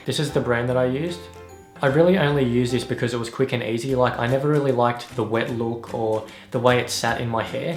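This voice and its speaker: A young Australian man rattles through fan questions in a quick, chatty and upbeat way, laughing now and then. His voice has a gravelly edge. The echo of the room and some faint music are heard behind him. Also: mid-range voice. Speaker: YouTube singer answering fan questions. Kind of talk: casual vlog Q&A.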